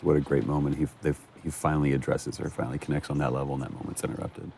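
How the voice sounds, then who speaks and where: A man with a husky, gravelly voice giving casual commentary on a game. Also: a bass voice, flat delivery, racing, a little mellow, slight background noise. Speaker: commentator who worked on the game.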